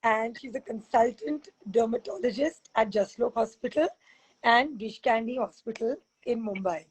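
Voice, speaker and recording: A woman hosting a casual interview over a video call, chatty and rambling, her voice husky from teaching back-to-back classes. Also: a little high, normal speed, poor audio. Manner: chatty, rambling, laughs often. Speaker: fitness expert and host.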